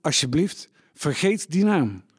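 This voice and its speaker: A wary wartime farmer voiced by a male actor, blunt and protective of those around him. His voice is fairly high for a man and recorded very dry, in radio drama style. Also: big pitch swings, slow, breathy edge, no room sound, full character voice. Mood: stern, cautioning. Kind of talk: scripted radio drama dialogue.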